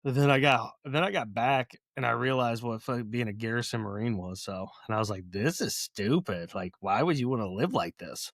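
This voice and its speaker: A man's voice: mid-range voice, normal speed, vocal fry, hardly any pauses, a bit bright, dry recording. Man, thirties, from Ohio, blunt and profane, laughs easily, mid-range voice, dry mic. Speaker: podcast co-host, veteran. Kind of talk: casual chat.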